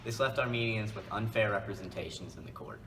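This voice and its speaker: A man's voice: fairly low pitch, fast talker. A young man reading a scripted report as a student reporter. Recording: distant mic, clear background noise.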